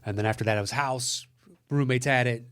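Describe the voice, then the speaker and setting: A man's voice: mid-range voice, steady tone, a little fast, a bit bright, smooth. A man co-hosting a podcast in casual banter, jokey and conversational, with some swearing. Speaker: podcast co-host.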